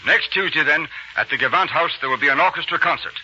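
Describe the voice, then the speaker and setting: An actor playing a formal, courteous royal music director in an old radio drama. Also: male voice, fairly high pitch, lively intonation, fast, pushed and bright. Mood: pleased, formal. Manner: formal, polite. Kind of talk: 1940s radio drama acting. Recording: dull old radio recording, faint noise.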